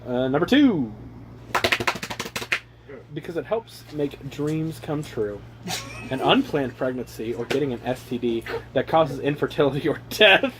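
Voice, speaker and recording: A young man with a fairly high voice reads aloud in a mock-earnest way and drops into joking, sweary chat. The recording is amateur, with some noise around him. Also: animated, moderate pace. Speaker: reader among his brothers. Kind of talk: joking read-aloud with casual asides. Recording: noisy amateur home recording.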